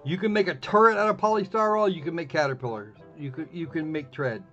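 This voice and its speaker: A man's voice: fairly high pitch, fast, fairly bright tone. A man streaming a visual novel, chatting casually and sarcastically, often restarting his sentences. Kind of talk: casual livestream commentary. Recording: dull lo-fi stream mic with game music behind. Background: faint game music.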